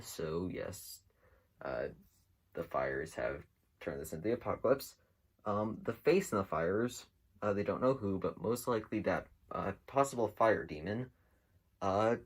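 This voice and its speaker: Low, gravelly man, slow and halting. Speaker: host of an internet mystery series. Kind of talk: casual unscripted video commentary.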